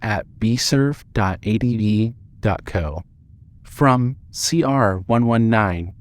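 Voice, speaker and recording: A man narrating a scripted story in a measured, suspenseful way. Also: lowish voice.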